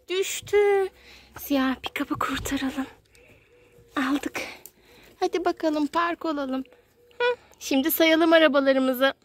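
A woman's voice: sits high, a bit bright, no echo. A mother playing with toy cars outdoors and counting them for her small child, in a sing-song voice full of toy sound effects. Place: outdoors in a garden.

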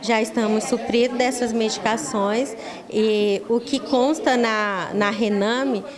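A woman's voice: on the high side, steady tone, takes it slowly. A middle-aged woman with a Brazilian accent giving an explanatory interview as a pharmacy staff member, sometimes repeating words. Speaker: municipal pharmacy staff spokesperson. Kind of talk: interview answer. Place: small busy pharmacy office, indoors. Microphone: handheld reporter's microphone. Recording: busy room chatter behind.

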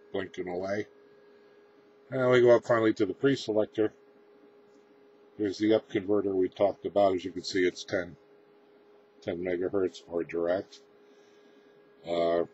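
A man giving a casual, unscripted equipment demonstration as presenter, explaining in a halting, matter-of-fact way, recorded indoors on a camera microphone. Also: low-pitched. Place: indoors, small room with radio gear. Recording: dull lo-fi camera audio, clear background noise.

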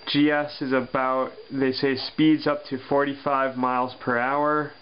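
A man teaching physics, working a problem step by step on paper and thinking aloud with pauses and fillers. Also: medium pitch, level tone. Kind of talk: worked-example lesson over paper. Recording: dull lo-fi sound with faint hum.

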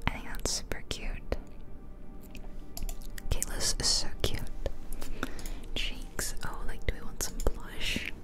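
A woman's voice: slow, long pauses. Whispering woman, ASMR game commentary. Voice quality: breathy unvoiced whisper. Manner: musing aloud, casual and chatty. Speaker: ASMR video host. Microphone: close ear-to-ear ASMR microphone.

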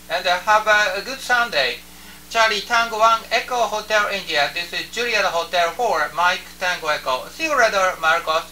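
A man with a high-pitched, very sharp voice and a Japanese accent works a ham radio contact. He speaks haltingly, repeating his phrases. He sounds far from the microphone, with radio noise humming around him. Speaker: amateur radio operator. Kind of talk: ham radio contact chat with phonetic call signs. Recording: noisy shortwave radio setup, distant microphone, hum.

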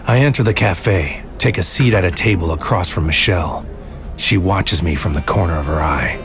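An actor playing a brooding man on a surreal mission, narrating in clipped, poetic, deliberate phrases like a noir audio drama. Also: sits low, natural voice. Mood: brooding, intense. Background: music.

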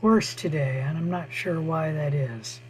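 A middle-aged man with a hoarse voice, teaching a college biology class on a video call, speaking haltingly with frequent pauses. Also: a little high, a little mellow, slight background noise, poor audio. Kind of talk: online lecture over screen share. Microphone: computer mic on a video call.

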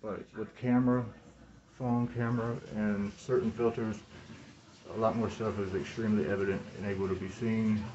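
A man in his thirties in a rambling, halting monologue, repeating words and phrases, recorded on a phone indoors through a cloth mask. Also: on the low side, flat delivery, warm tone, muffled recording, slight background noise. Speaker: vlogger talking to camera. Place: small room indoors. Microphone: phone at arm's length, speaking through cloth mask.

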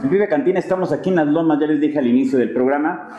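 A man's voice: on the high side, quick pace, dark tone. A middle-aged man with a Mexican accent hosting a casual interview in a restaurant, chatty and joking as he leads the questions. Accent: Mexican Spanish. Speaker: restaurant show host. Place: restaurant terrace with plant wall. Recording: roomy sound with crowd chatter behind.

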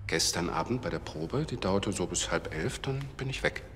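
An actor playing an earnest, troubled church cantor in a TV crime drama, speaking a little haltingly. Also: male voice, low-pitched, animated, a bit bright. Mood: subdued, troubled. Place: large echoing church. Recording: reverberant church, faint noise.

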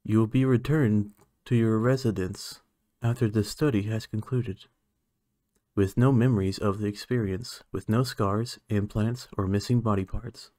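A man playing an aloof, condescending alien scientist in an ASMR roleplay, speaking in a clinical, measured, haughty manner. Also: fairly low pitch, fairly even intonation, mellow, no room sound, close to an everyday voice. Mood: clinical, calm. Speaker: voice actor.

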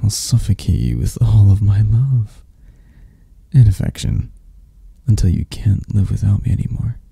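A man voicing an obsessed, flirty yandere captor in an intimate ASMR roleplay, sweet and menacing, with lingering pauses. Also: fairly low pitch, very animated, mellow, dry recording, heavily acted. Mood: coaxing menace. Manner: slow, intimate, breathy sighs and pauses.

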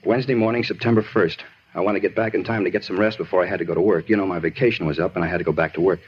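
An aggrieved, disturbed American suspect in an old radio crime play. He rambles insistently and repeats himself in a husky, hurried voice, on a dull vintage recording. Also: low voice, fairly even intonation. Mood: earnest, matter-of-fact. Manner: rambling, repetitive, insistent, plain-spoken. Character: talkative murder suspect, earnest, aggrieved, disturbed man. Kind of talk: 1950s radio drama acting.

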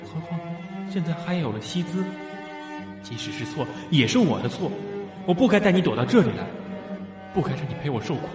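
A man's voice: on the high side, very animated, brisk, pauses often. An actor in a radio drama playing a protective, anguished husband who soothes his wife. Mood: remorseful. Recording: echoey hall reverb, music behind.

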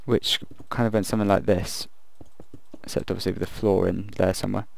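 A man casually commenting while he builds in a video game, thinking aloud and rambling, his voice croaky from the late hour. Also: sits low, flat delivery, fairly quick, darkish tone, no echo. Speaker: gaming video creator.

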